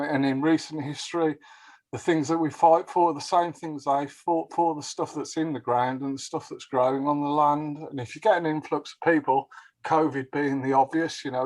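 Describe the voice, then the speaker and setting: Rambling man with a flat voice. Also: mid-range voice, poor audio. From England. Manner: rambling, restarts sentences. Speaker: caller to a local discussion show. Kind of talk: casual rambling opinion.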